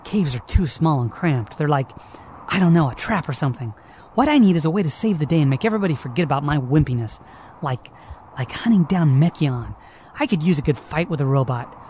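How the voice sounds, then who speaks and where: A man's voice: on the high side, animated, a little fast, darkish tone, a little hiss or hum, natural voice. A man playing a cocky, restless young hedgehog hero with casual, slangy delivery in an amateur radio drama. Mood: sheepish, defensive.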